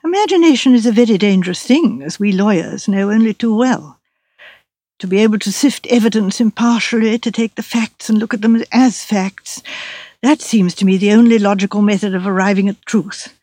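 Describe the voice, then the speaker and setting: A woman's voice: medium pitch, normal speed, dark tone, no room sound, natural voice. A woman playing a dry, fussy elderly male solicitor in an audiobook, with a precise, pedantic manner and a little cough before speaking.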